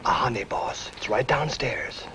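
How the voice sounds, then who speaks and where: An actor playing a fussy, prim detective's assistant in a 1950s film. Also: male voice, mid-range voice, measured pace, natural voice. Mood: harried. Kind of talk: 1950s film comic-relief acting. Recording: faintly hissy old film soundtrack.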